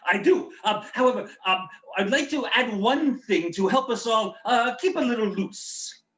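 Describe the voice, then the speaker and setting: A man's voice: very high-pitched, normal speed, fairly bright tone. A man playing a bumbling, eager, tipsy German fairy-tale writer, hesitant and stammering, in a comedic stage show. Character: Jacob Grimm, bumbling, tipsy, eager German storyteller brother. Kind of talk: comedic stage patter and rhymed storytelling. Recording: roomy, echoey home recording.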